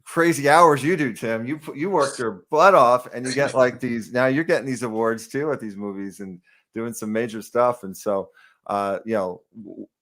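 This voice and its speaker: A man's voice: medium pitch, normal speed, fairly bright tone. A man speaking as a musician guest in a casual, chatty interview style. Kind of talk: casual chat.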